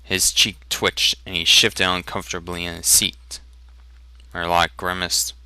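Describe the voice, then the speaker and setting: A man's voice: deep voice, level tone, measured pace, sharp tone, clean tone, no room sound. A man reading fantasy fiction aloud as a dramatic, theatrical narration. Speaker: amateur audiobook reader.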